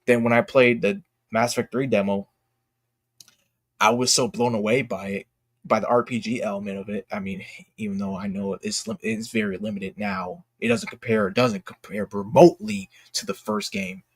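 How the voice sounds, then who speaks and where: A man's voice: fairly low pitch, fairly even intonation, no echo. Blunt man with a very dry sound. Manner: halting, repeats phrases for emphasis; blunt, emphatic. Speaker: streamer and commentator. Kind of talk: casual opinionated livestream commentary.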